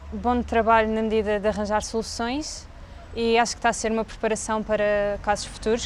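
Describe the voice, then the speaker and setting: Young Portuguese woman, flat and thoughtful. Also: medium pitch, a little hiss or hum. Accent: European Portuguese. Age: 20s. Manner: thoughtful, searching for words. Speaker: interviewee. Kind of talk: interview answers.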